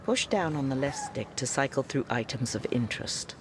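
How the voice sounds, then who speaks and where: An actor voicing a game narrator who gives scripted instructions. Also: male voice, mid-range voice, expressive, normal speed, noisy background, natural voice. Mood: instructive. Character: male game narrator giving button instructions.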